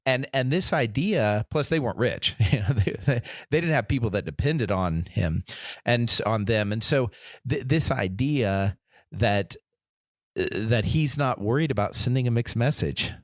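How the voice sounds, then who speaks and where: A man's voice: medium pitch, expressive, unhurried, dry recording, clean studio sound. A man co-hosting a casual faith podcast, talking earnestly with frequent restarts and quoted imagined voices. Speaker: podcast co-host.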